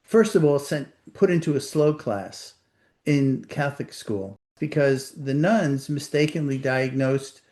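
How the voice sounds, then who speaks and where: An older author with a Puerto Rican accent, answering interview questions in a thoughtful, measured way. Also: male voice, mid-range voice, darkish tone. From Puerto Rico. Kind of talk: reflective conversation.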